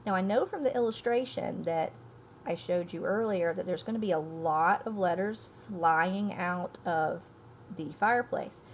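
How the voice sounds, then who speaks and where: A woman's voice: fairly low pitch, unhurried, a little hiss or hum. A woman teacher giving a read-aloud lesson, speaking emphatically and thinking aloud for her young listeners. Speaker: elementary school teacher. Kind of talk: read-aloud lesson, storybook reading and explaining.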